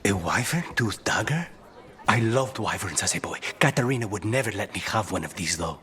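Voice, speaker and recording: An actor playing a suave, dry-witted male assassin in a video game, speaking in a measured, understated way. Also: on the low side, expressive, a bit bright. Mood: wry, dry. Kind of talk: video game dramatic voice acting.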